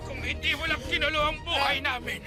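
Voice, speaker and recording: An actor in a radio drama playing an obsessed, lecherous older married landlord, leering and menacing. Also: male voice, extremely high pitch, fairly even intonation, fast talker, over music, a crowd in the background. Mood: bitter rage.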